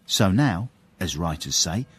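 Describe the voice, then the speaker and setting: A man playing a cheeky, sly young servant and self-satisfied storyteller in a radio drama, husky and wryly deferential. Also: sits low, very animated, a little slow, background noise. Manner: wry, deferential with sly irony. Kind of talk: radio drama acting and narration.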